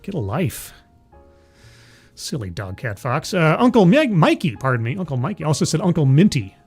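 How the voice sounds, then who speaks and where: A man's voice: on the high side, big pitch swings, normal speed, darkish tone, slightly rough, soft background music. A man in his forties hosting a livestream, chatting casually with viewers and laughing at himself. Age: 40s. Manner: rambling, self-deprecating, laughs often. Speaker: livestream host. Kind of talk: casual chat with live chat.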